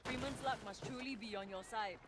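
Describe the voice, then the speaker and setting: A man's voice: high voice, a little fast, a bit bright. A man playing a hopeful seafaring pirate in a video game, speaking in flowery, fatalistic phrases. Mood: hopeful, welcoming. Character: poetic, hopeful seafaring pirate crew member. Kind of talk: video game character acting. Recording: noisy sea and boat ambience.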